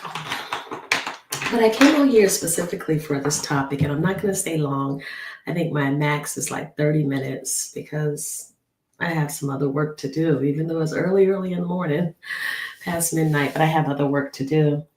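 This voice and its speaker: A woman with a low, hoarse voice, worn from a lingering cough. She rambles through a personal story, full of ums and restarts. The room around her sounds echoey. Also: moderate pace, darkish tone. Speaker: travel nurse. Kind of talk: casual storytelling vlog.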